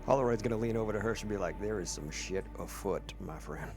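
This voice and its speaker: Fast, creaky-voiced man in a tabletop game. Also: lowish voice, music in the background. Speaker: player in a tabletop roleplaying game. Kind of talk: casual roleplay banter.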